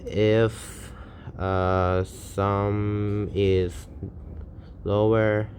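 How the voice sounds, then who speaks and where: Slow, deep-voiced man. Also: level tone, a little mellow, a little hiss or hum. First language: Thai. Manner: hesitant, self-correcting, halting non-native English. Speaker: channel host. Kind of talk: thinking aloud while solving a coding problem.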